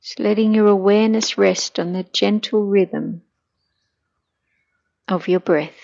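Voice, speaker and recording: A middle-aged woman leading a guided meditation in a gentle, soothing, hushed manner that turns breathy at times. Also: mid-range voice, unhurried, dull audio.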